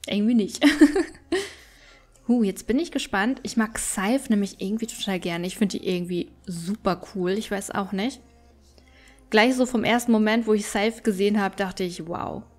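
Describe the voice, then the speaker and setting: A woman's voice: medium pitch, unhurried, pauses often. A woman giving casual let's play commentary, chatty and thinking aloud as she goes. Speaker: gaming streamer and let's play host.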